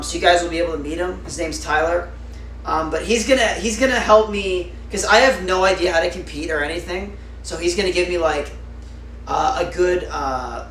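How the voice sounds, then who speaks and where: A man's voice: fairly high pitch, fairly bright tone, recorded from a distance. A young man livestreaming, chatting casually with viewers in a rambling way full of fillers. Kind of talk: casual live-stream chat. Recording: roomy echo, off-mic, faint noise.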